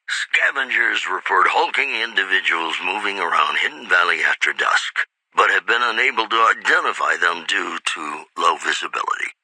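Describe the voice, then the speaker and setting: An actor playing a suave radio DJ who reads wasteland news in a polished, measured announcer style for a video game. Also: male voice, deep voice, bright, forceful tone, husky, no echo, heavily acted, rough recording. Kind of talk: scripted radio news bulletin.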